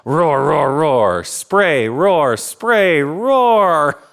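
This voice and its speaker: A man's voice: on the high side, lively intonation, extremely slow, vocal fry, voice pushed up, full character voice. A man voicing a stubborn, boastful little dinosaur who roars again and again in a children's picture book. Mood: roaring, triumphant. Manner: roaring loudly and repeatedly.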